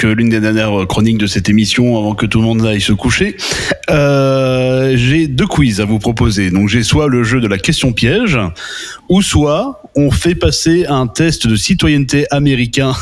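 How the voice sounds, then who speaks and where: A man hosting a casual talk show who speaks haltingly and fills pauses with "euh". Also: medium pitch. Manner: halting, many fillers. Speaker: show host.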